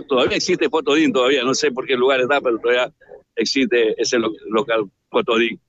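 A man reports live from a city street, talking in a loose, rambling way and calling out to his studio partner. His voice sits at a middle pitch. The sound is dry and slightly dull. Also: dry recording. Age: 60s.

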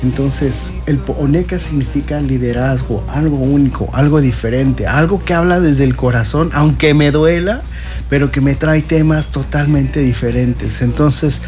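A man's voice: mid-range voice, moderate pace, darkish tone. A man giving an emphatic, declamatory motivational reading to a band. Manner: declamatory, urging. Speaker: numerology segment presenter. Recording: music clearly behind.

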